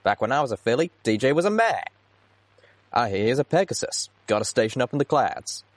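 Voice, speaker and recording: A squabbling, gossipy tavern pony acted by a male reader in a storybook. The voice is opinionated, raised in pitch and swooping. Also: sing-song, a little fast, dry recording. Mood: eager bickering.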